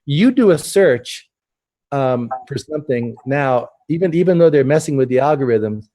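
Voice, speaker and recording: A man hosting a casual interview, talking earnestly with halting starts and repeated words. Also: mid-range voice, lively intonation, dark tone. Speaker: host.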